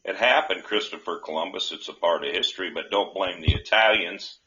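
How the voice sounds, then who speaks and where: A man who hosts a call-in show as a candidate, answering questions in a halting, rambling way full of restarts. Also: low-pitched, bright, forceful tone. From North Dakota. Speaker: senate candidate, show host. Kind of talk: rambling live call-in chat. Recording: dull lo-fi live stream audio.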